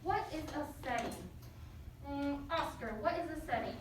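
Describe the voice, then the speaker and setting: A woman's voice: high-pitched, normal speed, over music. A woman teaching an interactive read-aloud lesson to children, encouraging them and prompting with questions. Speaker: elementary school teacher. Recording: echoey, noisy, distant mic.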